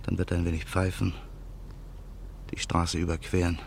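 An actor playing a vengeful former musician, narrating his calm, measured thoughts in a radio play. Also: low voice, creaky, a little hiss or hum. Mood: cold. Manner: calm, measured inner monologue. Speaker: narrator and lead character.

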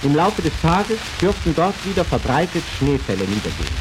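A man's voice: a little high, sing-song, fairly quick. A man reading the weather in a formal, declamatory early radio style, from an old disc recording. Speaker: radio newsreader. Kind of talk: formal 1930s weather report. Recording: noisy, hissy 1932 disc recording.

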